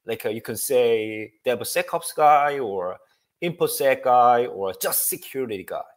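Casting: upbeat presenter, man, forties, halting delivery full of fillers, headset mic on a video call. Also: medium pitch, expressive. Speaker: guest speaker. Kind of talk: technical presentation. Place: home room.

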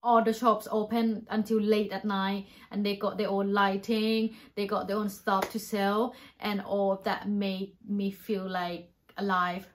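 A woman's voice: medium pitch, level tone, measured pace, hardly any pauses. A woman chatting casually, chiming in with brief, dry remarks. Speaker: travel vlogger. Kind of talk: casual chat.

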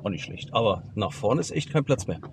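A man's voice: lowish voice, animated, a little fast, background noise. A middle-aged German man vlogging a trip, giving wry, chatty commentary to a handheld camera. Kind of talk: casual travel vlog. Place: camper van on a ferry deck and car lot. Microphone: handheld camera at arm's length.

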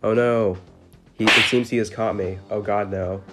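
A man's voice: fairly low pitch, fairly even intonation, normal speed. A man giving casual, slangy commentary over gameplay as a gaming video host. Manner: informal, slangy. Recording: music behind the voice.

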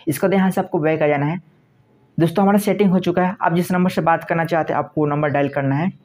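A man narrates a tech tutorial. His voice sits a little high and he moves along quickly. He gives instructions in repetitive, step-by-step phrasing. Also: fairly even intonation, a little mellow. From India.

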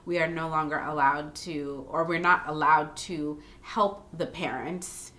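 A woman's voice: low-pitched, steady tone, unhurried, sharp tone. A young woman hosting a casual podcast, talking frankly with many fillers. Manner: chatty, frank, with frequent fillers. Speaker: podcast host, daycare owner. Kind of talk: casual chat. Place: small home room.

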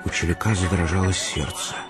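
A male actor as a narrator of a literary period tale for radio theatre. His voice is low, and music plays clearly behind him. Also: steady tone, brisk, lightly acted. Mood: measured storytelling.

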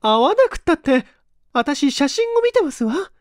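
A frightened wife chatters in a high, pleading voice. Her feminine speech swoops with insistence, as in a radio drama ghost tale. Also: very animated, normal speed, dry recording, studio quality. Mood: shaken, insistent.

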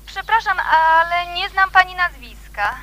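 Chatty suburban lady, radio drama, sharp high voice, telephone filter, gushing politeness. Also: lively intonation, a little slow, exaggerated voice. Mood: polite, hesitant. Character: chatty, sociable suburban neighbour lady phoning a newcomer.

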